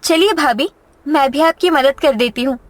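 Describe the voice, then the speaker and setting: A woman's voice: high voice, moderate pace, no echo, close to an everyday voice. A woman playing an anxious, caring member of a poor homeless family, with plaintive, melodramatic moral-story delivery. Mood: gentle, caring.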